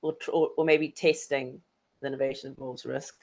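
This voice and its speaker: A woman interviewer with a South African accent, asking measured, friendly questions. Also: a bass voice, level tone, darkish tone.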